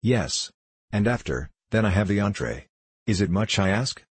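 A man's voice: lowish voice, expressive, normal speed, husky, no room sound. A man voicing a young French gambler in an audiobook, with a French accent and broken English, courtly, taunting and self-assured. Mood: smoothly insistent. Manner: broken English, courtly, theatrical chuckling asides. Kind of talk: audiobook character voice.

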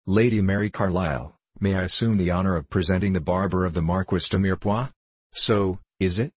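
A man's voice: sits low, brisk, darkish tone, slightly rough, no echo. A man voicing a haughty duke in an audiobook, with a sneering, contemptuous, bad-tempered manner. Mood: scornful. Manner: snarling, contemptuous, archaic aristocratic oaths. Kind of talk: audiobook character voice.

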